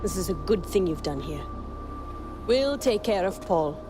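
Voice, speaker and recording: An actress playing a cool, composed rebel commander in a video game, measured and controlled. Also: female voice, lowish voice, lively intonation. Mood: cool, satisfied. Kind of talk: video game cutscene acting. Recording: loud music behind.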